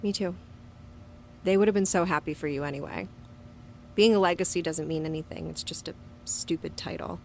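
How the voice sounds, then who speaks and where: A woman's voice: on the low side, brisk, creaky, darkish tone, a little hiss or hum. An actress playing a hot-tempered, wounded young woman in an audio drama, with a blunt, sweary way of talking. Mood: subdued, reflective. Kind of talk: audio drama acting and first-person narration.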